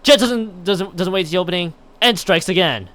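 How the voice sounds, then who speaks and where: A man's voice: high voice, normal speed, fairly bright tone. A man on a live game stream, reading a visual novel aloud with stumbles and exasperated asides. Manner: stumbles and repeats words while reading.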